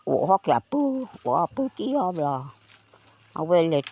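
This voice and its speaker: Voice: medium pitch, big pitch swings, normal speed, creaky, mellow, highs cut off. A person telling a folk tale aloud in a conversational way. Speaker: folk storyteller.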